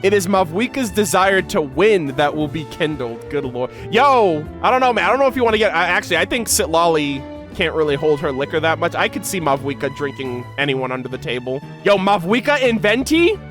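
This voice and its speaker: Man, fairly high voice, casual gaming commentary and lore reading, enthusiastic fan manner, music in the background. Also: expressive, fairly quick, fairly bright tone. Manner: slangy, enthusiastic fan talk. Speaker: gaming reaction video creator. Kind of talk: casual reaction commentary mixed with reading game lore aloud.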